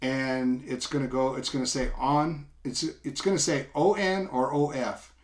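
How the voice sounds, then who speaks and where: Man, middle-aged, mid-pitched voice, informal, halting delivery, casual unscripted demo, product reviewer. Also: fairly even intonation. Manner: informal, halting step-by-step explaining. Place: small indoor room, seated at a table.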